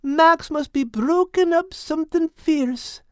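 A man's voice: very high voice, slow, no echo, studio quality, voice pushed up. A man voicing a cheerful, affectionate male cat in a storybook reading, with homely folksy talk. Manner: homely folksy talk, calls her "love" and "lass".

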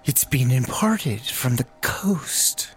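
A man playing a soothing spa attendant in a comedy audio drama, with breathy, drawn-out delivery. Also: medium pitch, animated, full character voice. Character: slow, soothing spa attendant offering luxury treatments.

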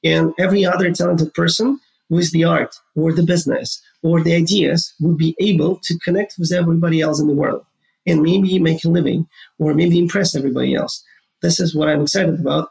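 A man's voice: on the high side, steady tone, a little fast, creaky, darkish tone, rough recording. A man from Ukraine with a Ukrainian accent, answering interview questions earnestly and with enthusiasm. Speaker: guest, gaming entrepreneur.

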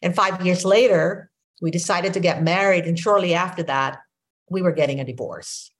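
A woman in her sixties with a Filipino accent, whose first language is Filipino, hosting a podcast and telling her story in a reflective, unhurried way. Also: fairly low pitch. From Philippines. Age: 60s. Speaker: podcast host. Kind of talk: casual conversation.

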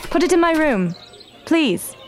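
A woman's voice: high voice, big pitch swings, measured pace, soft background music. An actress playing a breezy, privileged schoolgirl in a period radio drama.

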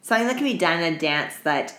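A woman podcast co-host telling stories in casual, crude slang with an animated, giggly manner. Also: lowish voice, fast talker. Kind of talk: casual chat, storytelling. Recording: roomy, echoey room.

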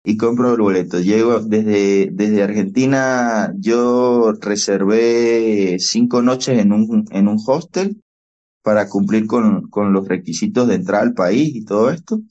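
A young Venezuelan man, a podcast guest, telling his story with animated, reenacted dialogue. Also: fairly low pitch, slow pace. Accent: Venezuelan Spanish. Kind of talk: storytelling interview. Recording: dull, lo-fi podcast audio.

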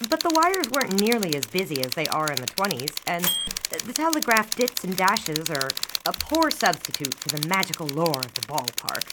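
A woman's voice: fairly low pitch, heavy background noise. A woman playing a literate 1920s sports fanatic, narrating her memoirs in florid, theatrical, old-fashioned phrasing. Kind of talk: scripted first-person memoir narration. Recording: loud typewriter clatter behind the voice.

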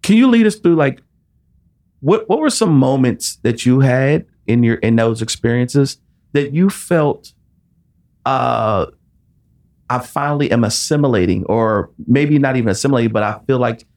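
A man's voice: medium pitch, animated, normal speed, a little mellow. A man hosting a podcast, asking his guest a long question and affirming her with short interjections. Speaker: podcast host. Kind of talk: interview, supportive back-channelling.

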